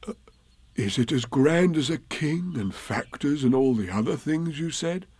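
A man voicing a small, slow-witted, devoted toy bear in a storybook, speaking in a hesitant, wondering way. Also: fairly high pitch, lively intonation, darkish tone, breathy edge, no room sound, voice pushed up. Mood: puzzled, curious.

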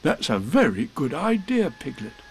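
A man voicing a cheerful, muddled male bear with a slow, earnest way of talking. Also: mid-range voice, huge pitch swings, creaky, slightly rough, faint music behind, full character voice.

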